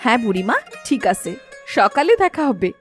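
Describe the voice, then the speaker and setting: Brisk high-voiced village housewife acted by a woman. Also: big pitch swings. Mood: friendly, caring. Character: brisk, caring young village housewife and mother. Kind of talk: cartoon folk-tale character acting. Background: background music.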